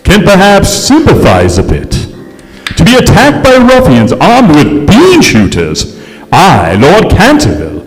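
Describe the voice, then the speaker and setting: A man's voice: fairly high pitch, wildly swooping pitch, a little slow, music in the background. A man acting a haughty, aggrieved aristocratic ghost who narrates his hauntings pompously in a staged old-time radio play. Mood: wounded, indignant. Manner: pompous, florid, theatrical. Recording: echoey hall stage recording.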